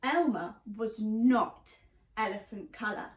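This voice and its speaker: A woman reading a children's story aloud like a teacher, expressively and deliberately, stressing key words. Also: mid-range voice, animated, a little hiss or hum. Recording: roomy, echoey sound.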